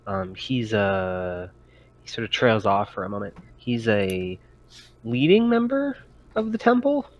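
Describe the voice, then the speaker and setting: A man improvising narration as game master of a tabletop roleplaying game, in a halting manner. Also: fairly low pitch, very animated, highs cut off.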